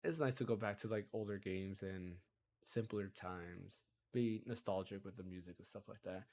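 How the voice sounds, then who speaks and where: A man hosting a casual gaming podcast, chatty and rambling, a bit hoarse from a cold. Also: low voice, normal speed, a little mellow. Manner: chatty, rambling, self-interrupting. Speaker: podcast host.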